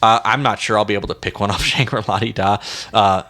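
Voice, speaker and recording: A man's voice: on the low side, fast, sharp tone. Hesitant man chatting casually. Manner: hesitant, self-deprecating, indecisive. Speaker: music podcast co-host. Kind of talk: casual music discussion.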